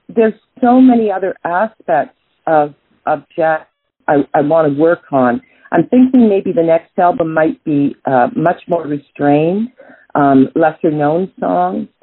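Canadian jazz singer lady, middle-aged, husky, lots of ums and restarts, phone-ish audio. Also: low-pitched, no room sound. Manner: thoughtful, many restarts and fillers. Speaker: jazz singer, interview guest. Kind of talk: casual interview answers. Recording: tinny phone-like line.

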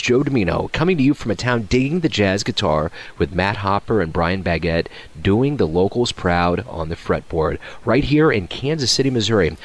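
A man hosting a jazz radio show, reading scripted introductions in a smooth, unhurried announcer delivery from a studio. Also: fairly low pitch.

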